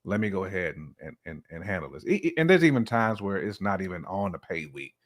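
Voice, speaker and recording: A man's voice: low-pitched, very animated, fast talker. A man speaking as a podcast guest, sharing opinions in casual, slangy, conversational speech. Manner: informal, colloquial speech with slang.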